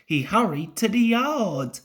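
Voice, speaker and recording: A woman's voice: mid-range voice, very animated, takes it slowly. A woman reading a children's story aloud as scripted narration.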